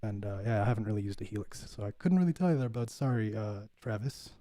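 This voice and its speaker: A relaxed man chats with his viewers between songs on a livestream. His lowish, mellow voice is easygoing and full of fillers, and the close, dry mic picks up no room at all. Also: fast talker, no room sound. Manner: laid-back, filler-heavy, says "bud". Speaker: livestream host, bassist. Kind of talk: casual chat reading viewer comments.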